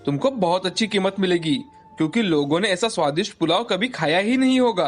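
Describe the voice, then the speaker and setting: A man's voice: high-pitched, lively intonation, brisk, faint music behind. A man voicing a friendly adult male cousin in a cartoon, cheerfully giving advice. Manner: friendly, advising.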